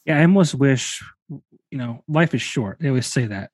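A man's voice: mid-range voice, expressive, normal speed, darkish tone, dry recording. Casual man in his late thirties. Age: 30s. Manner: musing, casual, loose with fillers. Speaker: podcast host. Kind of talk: casual conversation.

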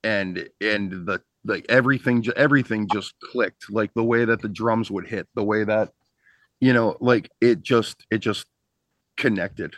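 Rambling middle-aged man, low voice. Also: measured pace, fairly bright tone, no room sound. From Pennsylvania. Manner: rambling, many restarts and fillers. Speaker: band singer and bassist. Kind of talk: casual interview chat.

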